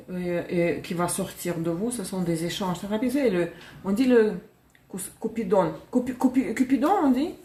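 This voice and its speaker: A woman's voice: mid-range voice, dark tone. A woman reading tarot cards on a live stream, chatty, laughing and correcting herself as she goes. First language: Russian. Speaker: tarot reader. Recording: echoey room.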